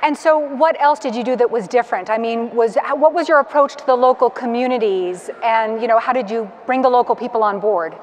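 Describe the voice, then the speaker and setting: A woman's voice: on the high side, a little fast, few pauses, slight background noise. Middle-aged woman moderating onstage. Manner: friendly, asks long multi-part questions. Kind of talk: onstage conference interview. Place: large hangar-like conference hall with audience. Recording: long reverb of a large hall.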